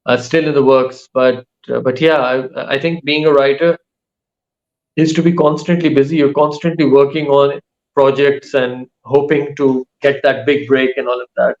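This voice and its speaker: A male author, whose first languages are Urdu and Saraiki, giving casual interview answers in a thoughtful way that pauses often mid-sentence. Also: mid-range voice, flat delivery, lo-fi sound. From Pakistan. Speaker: author and writing teacher.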